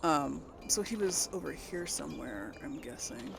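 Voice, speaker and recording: A woman with a slightly low voice hosts a casual let's play. She talks to her audience in a chatty, informal way and thinks aloud as she plays. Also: animated, normal speed, creaky, noisy background. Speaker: gaming video host.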